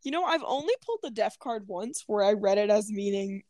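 A woman's voice: mid-range voice, expressive, brisk, darkish tone, dry recording, studio quality. A woman co-hosting a casual live audio chat as a tarot reader, chatty and giggly. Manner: chatty, giggly, self-conscious.

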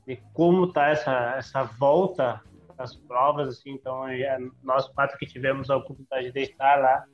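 A man with a southern Brazilian accent hosting a podcast, chatty and teasing with frequent tag questions. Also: on the high side. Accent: Southern Brazilian (Rio Grande do Sul). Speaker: podcast host. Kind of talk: casual podcast chat. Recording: slightly dull lo-fi audio.

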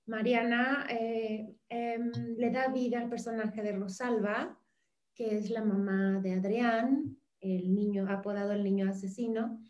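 A Mexican woman hosting an interview, talking in halting bursts full of fillers and restarts, gushing with thanks. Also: a little high, fairly even intonation, a little mellow, echoey room, dull audio. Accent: Mexican Spanish. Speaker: interview host. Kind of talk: casual interview chat.

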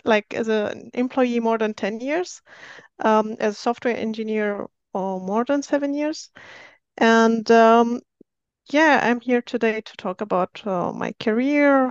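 A woman from Syria tells her story as a podcast guest, pausing often and filling gaps with soft fillers. Her voice sits a little high and sounds smooth and clear. The recording is dry and slightly dull. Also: no room sound. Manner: hesitant, many fillers, careful non-native phrasing. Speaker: guest, software engineer. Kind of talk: podcast interview.

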